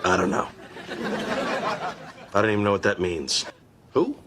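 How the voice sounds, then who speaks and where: A man's voice: on the low side, huge pitch swings, takes it slowly, a crowd in the background, background noise, heavily acted. A man playing a flustered, clueless guy answering a phone poll in a comedy sketch. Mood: hesitant, flustered.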